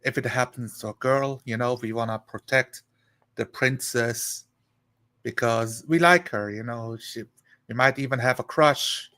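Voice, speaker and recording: A man chatting casually on a live stream, rambling and thinking aloud in a halting way. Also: medium pitch, bright, forceful tone.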